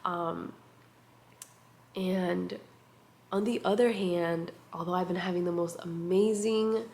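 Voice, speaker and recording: A woman, talking to the camera in a casual catch-up vlog. She sounds nasal and congested, and she speaks softly and reflectively with many pauses and fillers. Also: fairly low pitch, steady tone, creaky. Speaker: vlogger. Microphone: compact camera mic.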